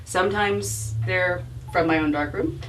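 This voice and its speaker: A woman with a slightly low voice, heard from away from the mic over faint room noise. She talks as an author being interviewed, thoughtful and self-deprecating, circling back and restarting as she goes. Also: fairly bright tone, distant mic, poor audio. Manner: halting, self-deprecating, reflective, restarts phrases. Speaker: author being interviewed about her book. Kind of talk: informal spoken book talk with audience. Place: bookstore event.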